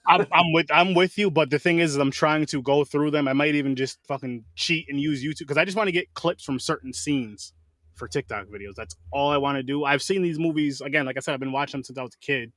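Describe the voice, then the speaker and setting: A man hosting a podcast in casual chat, talking quickly and swearing freely. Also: on the high side, no echo. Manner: rapid, animated, swears freely. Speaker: podcast host.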